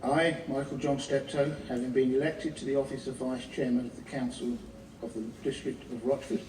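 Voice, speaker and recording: A man's voice: mid-range voice, level tone, fairly quick, darkish tone. A man reads a formal oath, tripping over words and starting again. He is heard from a distance in an echoey chamber. Noticeable background noise is present. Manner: stumbling, restarts mid-oath. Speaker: newly elected vice chairman. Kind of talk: reading an oath of office. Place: large echoey council chamber.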